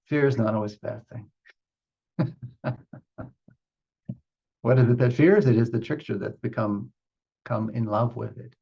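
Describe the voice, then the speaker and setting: A man teaching meditation off the cuff, explaining ideas in a halting, reflective way as he talks with a group. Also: medium pitch, steady tone, gravelly, dark tone, slightly rough, lo-fi sound. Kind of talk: unscripted spiritual teaching with group questions.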